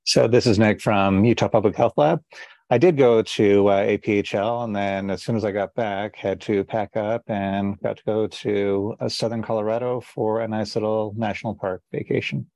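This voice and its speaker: A man's voice: lowish voice, fairly even intonation, normal speed, vocal fry, darkish tone, no echo. A man who works at a public health lab, chatting casually in an easygoing way. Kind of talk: casual group chat.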